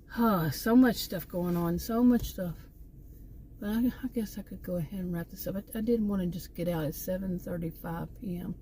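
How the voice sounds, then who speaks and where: A woman's voice: fairly low pitch, mellow, slight background noise, rough recording. A middle-aged American woman chatting casually and digressively, often trailing off and circling back to her point. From Texas, United States. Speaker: vlogger. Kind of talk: casual rambling chit-chat. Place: outdoors near a park.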